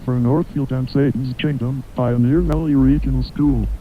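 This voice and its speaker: A man's voice: steady tone, moderate pace. A man reading a scripted list as an emergency announcer, clipped and impersonal. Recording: dull lo-fi broadcast, faint rumble.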